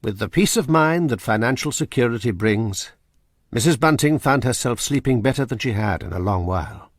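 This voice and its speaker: A man narrating a radio drama in a measured, dramatic storytelling manner. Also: mid-range voice, expressive, dry recording, studio quality. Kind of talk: scripted narration.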